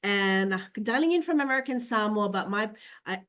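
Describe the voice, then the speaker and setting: A woman's voice: medium pitch, expressive, a little fast, fairly bright tone. Brief: woman from Fiji with Fijian as her first language, co-hosting a video call presentation, chatty and full of fillers. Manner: chatty, many "you know" fillers. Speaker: co-host.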